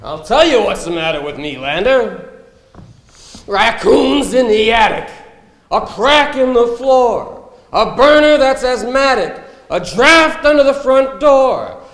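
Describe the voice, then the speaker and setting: A man's voice: very high-pitched, expressive, takes it slowly, fairly bright tone, exaggerated voice. A man playing a brazen, needy therapist in a comic stage play, speaking theatrically. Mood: exasperated, pleading. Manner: theatrical, declamatory. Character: brazen, needy male therapist burdened by household woes. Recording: echoey hall, long reverb.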